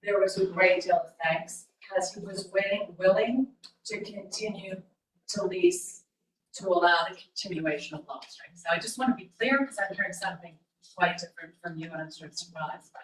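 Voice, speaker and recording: A woman in the audience with a quiet voice, speaking earnestly and insistently. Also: on the low side, brisk. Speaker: audience member. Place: library meeting room. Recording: distant, echoey room with hiss.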